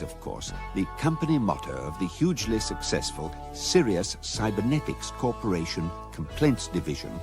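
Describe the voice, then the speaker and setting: Casting: droll talking guidebook, lowish, well-inflected, authoritative radio comedy narration. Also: male voice. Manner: measured, droll, deadpan. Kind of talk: scripted comic radio narration.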